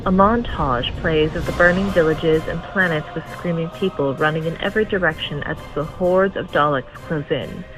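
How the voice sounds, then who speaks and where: A woman's voice: low-pitched, rough recording. A woman reading scripted story narration as the narrator of an audio drama.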